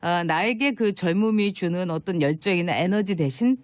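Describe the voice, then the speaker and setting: A woman's voice: on the low side, moderate pace, no room sound. A middle-aged woman in conversational opinion talk, explaining and telling stories at length with emphatic, reflective delivery. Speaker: commentator and writer, talk show guest.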